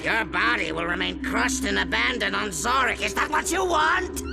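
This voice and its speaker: High-pitched megalomaniac robot villain. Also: male voice, expressive, normal speed, gravelly, reverberant, in a large hall, loud music behind the voice, full character voice. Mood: furious ranting. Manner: gloating, ranting, melodramatic. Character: megalomaniac robot supervillain, theatrical and short-tempered.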